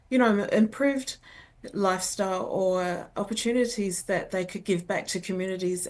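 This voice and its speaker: An older woman from New Zealand, an educator and researcher, answering interview questions in a halting, earnest way. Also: on the low side, a little mellow. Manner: halting, many fillers and restarts, earnest.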